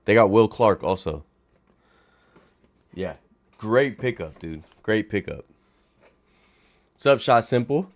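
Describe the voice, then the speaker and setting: A young man with a raspy voice, hosting a casual late-night livestream from home and chatting playfully with viewers. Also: lowish voice, slow pace, long pauses. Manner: animated, playful, talks to chat viewers. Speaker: livestream host.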